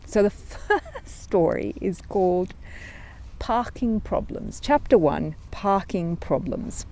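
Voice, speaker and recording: Woman, children's story narrator, animated mid-range voice, expressive pitch. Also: slow pace, slight background noise.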